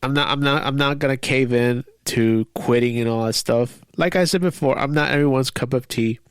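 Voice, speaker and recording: A man's voice: medium pitch, normal speed, smooth, no echo, clean studio sound. A man hosting his own podcast while sick with a cold and stuffy. He rambles, restarts sentences and sounds defiant at times. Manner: rambling, repeats words, frequent fillers; defiant, frustrated. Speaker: podcast host. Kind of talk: casual solo podcast monologue.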